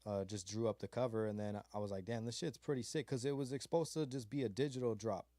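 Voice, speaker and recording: A man's voice: lowish voice, fairly even intonation, fast talker, mellow, dry recording, studio quality. A man from California's Mojave desert, a beat maker being interviewed, talking in a laid-back, rambling way full of fillers and slang. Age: adult with a child. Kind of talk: casual interview chat.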